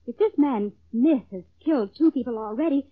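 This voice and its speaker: High-voiced, poised schemer. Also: female voice, lively intonation, fairly quick, dry recording. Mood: concerned. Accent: American. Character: poised, secretly scheming woman feigning concern. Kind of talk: 1940s radio acting. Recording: tinny, dry old radio recording.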